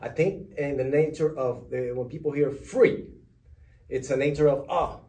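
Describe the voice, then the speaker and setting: A man hosting a podcast who talks in a measured way and often repeats his own phrases. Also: on the high side, a little mellow, distant mic. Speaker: podcast host. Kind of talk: casual podcast chat. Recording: roomy, from off mic.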